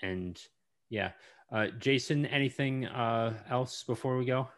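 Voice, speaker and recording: A man hosting a podcast interview in a casual, halting way, often repeating and stumbling over his words. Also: mid-range voice. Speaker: podcast host.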